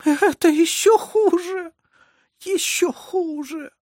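A woman voicing a frightened, exhausted peasant mother in an audiobook, pleading tearfully and slowly. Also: high voice, dark tone, no room sound, studio quality, pitched above the performer's own voice. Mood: despairing. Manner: tearful, slow, drawn-out pleading.

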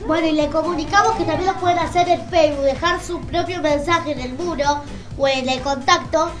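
A woman's voice: high voice, fairly even intonation, brisk. A woman co-hosting a radio show in a casual announcing style. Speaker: community radio co-host. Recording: music playing behind the voice.

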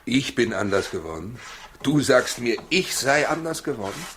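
An actor in a 1960s German radio play as a proud working husband torn by doubt, husky, weary and defensive. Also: male voice, medium pitch, expressive, moderate pace, fairly bright tone, slight background noise, close to an everyday voice. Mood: curt, evasive.

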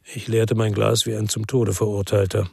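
A man's voice: lowish voice, flat delivery, normal speed, gravelly, slight background noise. An actor playing a world-weary ex-policeman who narrates a radio crime drama in a brooding, reflective way.

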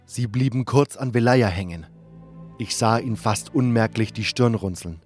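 A man's voice: mid-range voice, moderate pace, darkish tone, close to an everyday voice. Man as serious first-person fantasy narrator. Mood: measured. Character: serious hero narrating his own fantasy adventure. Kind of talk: scripted narration. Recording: music bed behind.

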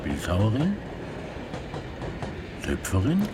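A man's voice: huge pitch swings, slow pace, heavily acted. A man acting a chatty, whimsical stranger in a radio drama, inquisitive and rambling. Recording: loud train noise in background. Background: rumbling train.

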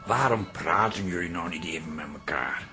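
A man's voice: deep voice, lively intonation, normal speed, sharp tone, faint music behind. A man playing a well-meaning, exasperated son-in-law from the provinces in a Dutch radio drama, hesitant and teasing. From Twente, Netherlands. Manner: hesitant, repeats words, teasing.

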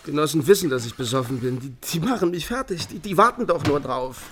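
An actor playing a drunk, frightened secret police lieutenant in a radio drama, stammering, repeating himself and pleading huskily. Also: male voice, on the high side, expressive, brisk, a bit bright, a little hiss or hum. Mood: scheming, frightened. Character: drunk, frightened, pleading male Stasi lieutenant, late thirties. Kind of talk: radio crime drama acting.

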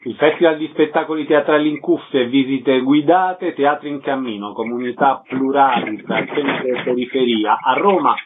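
A man's voice: medium pitch, moderate pace, few pauses. A man hosting a radio programme, putting questions to guests and giving announcements. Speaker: radio host interviewer. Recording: slightly dull sound, faint noise.

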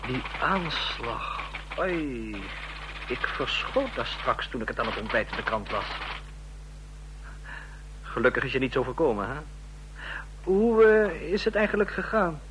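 A man's voice: mid-range voice, animated, a little slow, pauses often, fairly bright tone. A man acting in a Dutch radio play as an inquisitive, needling double agent in an office. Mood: prying. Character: prying, insinuating office underling and double agent. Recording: noisy, humming old radio recording.

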